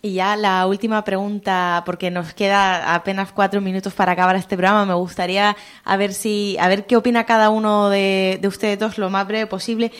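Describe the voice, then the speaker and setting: A woman's voice: medium pitch, little pitch movement, clean tone. Woman hosting a radio interview. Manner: measured, asks questions with some hesitation. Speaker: radio host. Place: radio studio.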